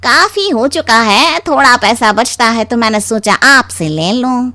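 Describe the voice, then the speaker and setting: Lady doing freeloading cartoon brother in law, slow drawl, smarmy asking for favors. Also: fairly high pitch, animated, bright, forceful tone, no room sound. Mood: ingratiating. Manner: drawling, ingratiating. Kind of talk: animated story acting.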